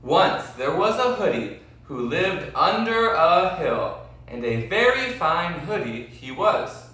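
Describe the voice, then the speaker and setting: A man reading a children's story aloud expressively, with deliberate, dramatic phrasing and questions to his listeners. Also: a little high, big pitch swings, sharp tone. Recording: very echoey room, distant mic.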